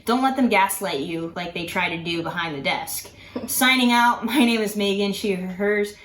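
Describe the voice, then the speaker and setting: Female activist presenter, medium pitch, noticeably roomy sound, speaking straight to viewers. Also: moderate pace, a bit bright. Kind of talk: direct-to-viewer sign-off. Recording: echoey room sound.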